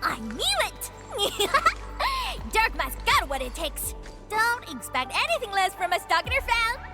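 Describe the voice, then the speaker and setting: Very squeaky, excitable little sidekick. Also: female voice, takes it slowly, full character voice. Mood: proud. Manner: squeaky, gushing. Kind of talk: cartoonish video game voice acting. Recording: music under the voice.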